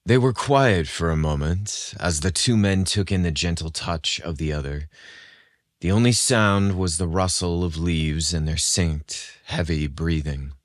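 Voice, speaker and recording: Male narrator for romance audio fiction, notably low register, dry sound. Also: unhurried, no echo. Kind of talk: scripted romance story narration.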